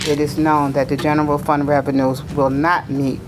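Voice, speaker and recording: A woman with a low voice, a little raspy from recent illness, formally presents budget slides to a council. The recording has a clear layer of background noise. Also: little pitch movement, moderate pace. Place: city council chamber. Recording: noisy council chamber audio.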